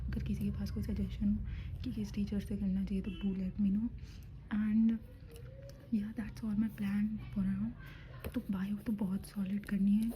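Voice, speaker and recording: Young woman, hushed whisper, sore throat, flat even delivery, faint room hum. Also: medium pitch, rough recording. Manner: hushed whispering, mixing Hindi and English. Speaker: student preparing for a medical entrance exam. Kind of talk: whispered study vlog talk.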